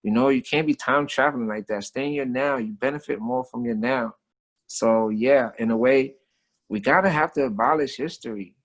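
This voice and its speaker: A man's voice: mid-range voice, moderate pace, rough recording. A man with an American accent talking casually as a guest, telling stories and philosophizing in emphatic, slangy, repetitive phrases. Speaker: guest, street artist. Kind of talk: casual conversational talk and storytelling.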